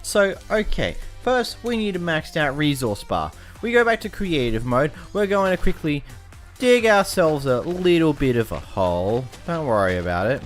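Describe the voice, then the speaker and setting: A man's voice: on the high side, very animated, moderate pace, soft background music. A young Australian man casually commenting on a video game, chatty and self-mocking, tripping over words as he reads. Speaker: gaming YouTuber.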